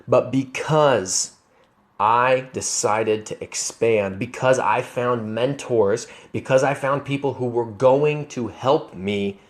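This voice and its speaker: Earnest young man with emphatic bursts. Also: on the low side. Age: 20s. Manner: conversational with restarts and self-corrections. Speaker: vlogger. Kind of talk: personal finance vlog over slides.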